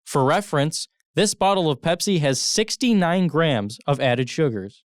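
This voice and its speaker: A man's voice: on the high side, lively intonation, a little slow, no room sound. A young American man narrating scripted news reports as a student television reporter.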